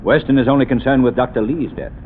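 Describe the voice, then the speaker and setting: An actor playing a calm, shrewd gentleman detective in a 1930s radio drama, measured and commanding. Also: medium pitch, phone-quality sound, slight background noise, close to an everyday voice.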